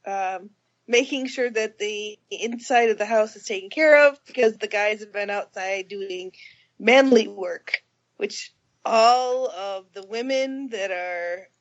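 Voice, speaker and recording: This woman sounds congested from a cold, her pitch a touch high. She chats casually on a podcast, light and self-mocking. The recording is a little dull and lo-fi. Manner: chatty, laughing, self-deprecating. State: stuffy from a cold. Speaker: podcast co-host. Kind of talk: casual chat.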